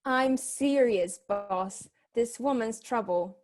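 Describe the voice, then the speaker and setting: A woman's voice: on the high side, slow, darkish tone. A woman playing a private eye's worried, loyal assistant, speaking earnestly in a comic detective radio play. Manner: earnest, cautioning.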